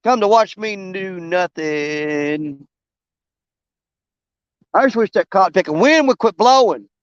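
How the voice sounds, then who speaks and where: Hoarse man, high clear voice. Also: animated, unhurried, no room sound, dull audio. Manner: rambling, self-mocking, drawling. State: losing his voice, coughing. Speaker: fishing vlogger streaming live. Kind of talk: casual live-stream chat while fishing. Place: outdoors by a river.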